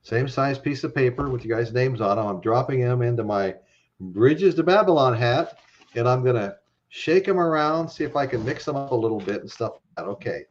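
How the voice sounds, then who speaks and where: A man's voice: medium pitch, expressive, a little fast, muffled recording. A man hosting a casual live-stream chat, rambling with lots of fillers and frequent laughs. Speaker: host.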